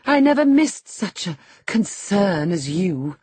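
A woman's voice: lowish voice, big pitch swings, slow pace, dark tone, husky, no room sound, pitched above the performer's own voice, heavily acted. A woman voicing a haughty, scornful young lady in an audiobook novel, speaking with cutting, imperious indignation. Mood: cutting, angry. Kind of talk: classic novel character dialogue in audiobook reading.

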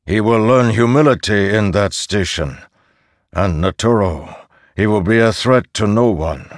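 A man's voice: fairly low pitch, a little slow, no room sound, pitched above the performer's own voice. A stern council leader handing down a harsh verdict, as a man puts him on. He speaks firmly and leaves no room to argue. The voice sits close and dry. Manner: firm, judgmental.

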